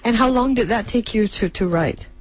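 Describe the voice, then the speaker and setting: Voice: mid-range voice, normal speed, dry recording. A radio interviewer holding an engaged, conversational exchange and asking follow-up questions.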